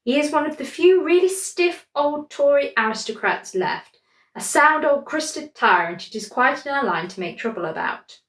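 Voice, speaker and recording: A woman's voice: high voice, expressive, moderate pace, fairly bright tone, reverberant, voice pushed up. A woman reading as a wry male journalist. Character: witty male journalist writing a gossipy letter.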